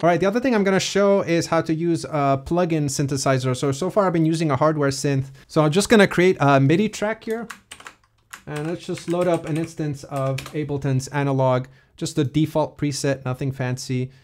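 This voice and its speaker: A man giving a casual, explanatory software walkthrough as a music production tutorial presenter. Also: on the high side, brisk, a little hiss or hum.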